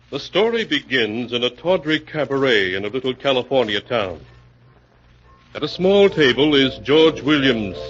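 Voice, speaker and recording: A man narrating an old-time radio mystery in a solemn, theatrical, measured style as its announcer. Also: mid-range voice, fairly bright tone. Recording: muffled old radio, long reverb, music behind.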